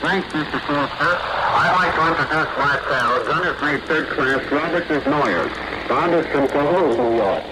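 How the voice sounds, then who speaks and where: A man's voice: a little high, moderate pace. An American man speaking in a 1940s radio broadcast style from the front, over a noisy shortwave relay. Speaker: war correspondents and servicemen at the front. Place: outdoors or on location in the Pacific.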